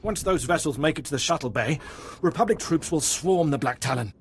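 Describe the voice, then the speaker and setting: An actor playing a gruff, pragmatic warship captain in a video game, firm and commanding. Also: male voice, fairly high pitch, animated, brisk, slight background noise. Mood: urgent, commanding.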